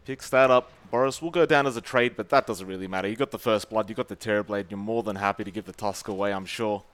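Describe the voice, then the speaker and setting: A man with an Australian accent, casting a competitive game match with brisk play-by-play and playful teasing of his co-caster. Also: on the low side, a bit bright, clean tone. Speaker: esports caster.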